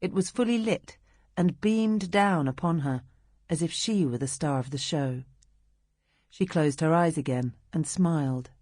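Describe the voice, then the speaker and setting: Low-voiced British woman narrating an audiobook. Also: no echo, studio quality. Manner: measured, expressive storytelling. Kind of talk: scripted literary narration.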